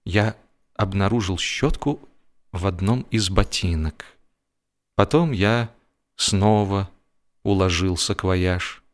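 A man narrating humorous literature expressively, with a wry, self-important theatrical delivery and dramatic pauses. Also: low-pitched. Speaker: audiobook narrator and actor.

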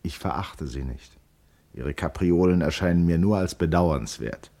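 Really deep voice, a wry introspective schoolboy, radio play monologue, measured and reflective. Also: male voice, warm tone. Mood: wry, scornful. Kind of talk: literary radio drama monologue.

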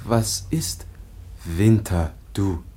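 Childlike foundling guy, slow broken words, husky voice, trusting, radio play. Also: lowish voice, warm tone. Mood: innocent, wondering. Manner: halting broken childlike phrases in third person. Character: childlike young foundling man, trusting and bewildered, barely able to speak. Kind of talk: German radio drama acting.

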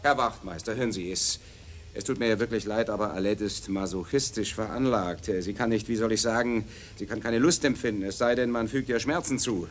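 Radio play casting: male actor as cocky, deep-voiced pimp, glib mockery and theatrical wheedling, faint background noise. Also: brisk, lightly acted. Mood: pleading. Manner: mocking, glib, theatrical pleading. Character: cocky, wheedling pimp pleading with and sneering at police.

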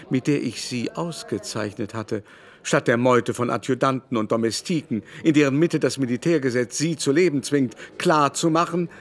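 A male actor plays an aging baron of great haughtiness and wounded pride. He speaks in pompous, ornate sentences at a middling male pitch, domineering in the manner of literary radio drama. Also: lightly acted. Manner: pompous, elaborate formal speech.

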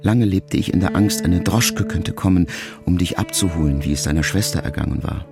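A man reading a first-person letter as an audiobook narrator, calm and measured. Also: sits low, creaky. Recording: music bed under the voice.

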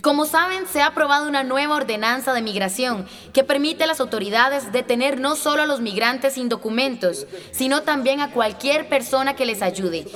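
A resolute activist rallies a meeting in a radio drama. Her high, declamatory voice rings through a reverberant hall. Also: animated, moderate pace, few pauses, fairly bright tone. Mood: firm, urgent. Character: female activist leader, firm and rallying. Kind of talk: radio drama public speech. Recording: echoey hall with long reverb.

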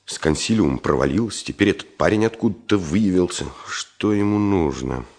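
A blustering professor in a Soviet radio drama. His low voice leaps about in pitch as he pleads and grumbles. He repeats words like a fussing, exasperated old friend. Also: expressive, moderate pace, gravelly, darkish tone. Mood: grumbling.

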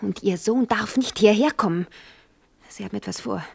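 An actress playing a nervous young princess in a 1970s radio play, talking in a hushed, anxious way. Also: female voice, medium pitch, normal speed, vocal fry, husky. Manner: hushed, anxious, drops to whispers.

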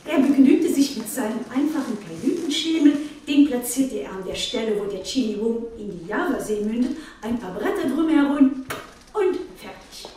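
A woman's voice: on the high side, expressive, normal speed, mellow, natural voice. A woman playing a chatty, proud museum guide giving an informal tour in a radio play. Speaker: exhibition guide. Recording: very echoey room, distant, faint noise.

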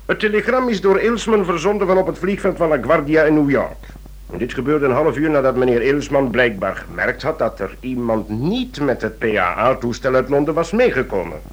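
Male actor as radio-drama investigator, husky medium voice, eager storyteller, self-assured, deliberate. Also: medium pitch, expressive, lightly acted. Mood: eager, teasing.

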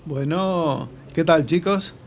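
An actor playing a laid-back, helpful friend with useful contacts in an audio drama, casual and easygoing. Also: male voice, a little high, slow pace, a little hiss or hum. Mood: relaxed, helpful.

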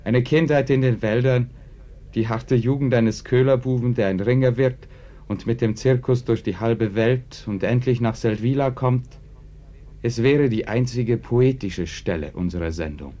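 Male radio drama narrator, mellow dark tone, medium pitch, measured wry delivery, muffled old recording. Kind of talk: scripted narration addressed to listeners.

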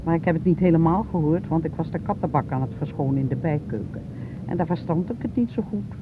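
A man's voice: fast talker, natural voice. A male actor playing a fussy, anxious older spouse in a naturalistic radio drama, coaxing as they talk. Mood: chatty, uneasy. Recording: tinny, noisy recording with vehicle rumble.

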